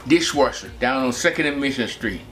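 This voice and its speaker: A man in his seventies from Texas, recalling his family life in a relaxed, rambling way with many pauses. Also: mid-range voice, soft background music. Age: 70s. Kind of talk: personal reminiscence.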